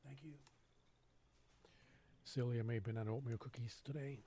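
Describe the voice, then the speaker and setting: A man's voice: mid-range voice, steady tone, moderate pace, dark tone, slightly rough. A man streaming a video game, casually commenting on play and reading on-screen text aloud. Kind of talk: live gameplay commentary, reading dialogue aloud.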